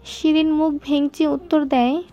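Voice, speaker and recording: A woman's voice: high voice, little pitch movement, unhurried, dark tone, lo-fi sound. A woman narrating a scripted romantic story.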